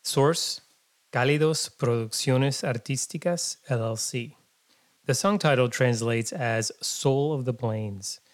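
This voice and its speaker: Male podcast host, medium pitch, measured delivery, friendly questions, dry close recording. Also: dry recording. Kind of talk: scripted intro narration and interview questions.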